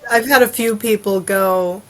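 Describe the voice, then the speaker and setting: Woman, podcast guest, medium pitch, informal, conversational, loose run-on phrasing. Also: fairly even intonation, a little slow, a bit bright, rough recording. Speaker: interview guest, adventure fiction author.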